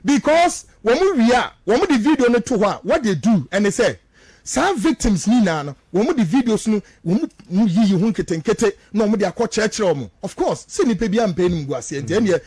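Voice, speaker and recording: A man's voice: high-pitched, sing-song, brisk, darkish tone, slightly rough, dry recording. A Ghanaian man giving emphatic, repetitive political commentary as he switches between two languages, full of rhetorical turns.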